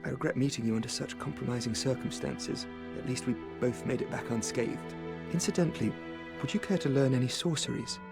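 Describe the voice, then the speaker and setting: A man's voice: mid-range voice, a little fast, gravelly, loud music behind the voice, lightly acted. An actor playing a polite, earnest male sorcerer in a video game, speaking in formal, courteous words. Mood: grateful, courteous. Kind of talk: video game character dialogue.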